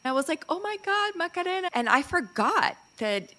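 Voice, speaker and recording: A middle-aged woman from Chile hosting a podcast, chatting casually, bubbly and quick to laugh as she tells stories. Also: very high voice. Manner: bubbly, laughs often, animated storytelling. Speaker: podcast host. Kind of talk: casual interview chat.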